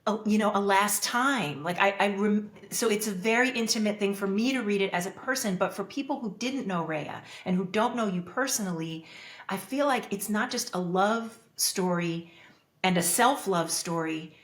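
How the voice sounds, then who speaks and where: A woman's voice: mid-range voice, normal speed, echoey room. A woman hosting a podcast interview, speaking in an earnest, reflective way and thinking aloud with restarts. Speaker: podcast host. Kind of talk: interview conversation.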